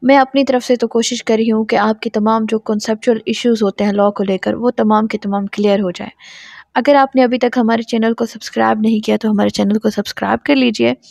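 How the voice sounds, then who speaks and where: A woman's voice: a little high, steady tone, fairly quick, vocal fry, a little mellow, no echo, clean studio sound. A woman with a Pakistani accent giving an explanatory law lecture, reading aloud and explaining in a didactic way.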